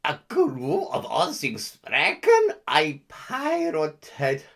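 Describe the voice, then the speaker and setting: A woman playing a boastful, lazy self-styled guru, telling a mock-grand story in a slow drawl with made-up words. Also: low voice, huge pitch swings, full character voice. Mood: smug. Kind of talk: comedic character monologue.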